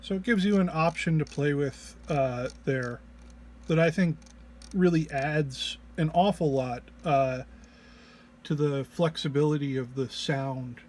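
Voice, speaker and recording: A man giving an informal technical walk-through as a presenter, sounding low-energy and under the weather, with a hesitant, halting delivery. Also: a little mellow. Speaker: presenter demonstrating an audio effects patch. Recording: clear background noise, single mono microphone.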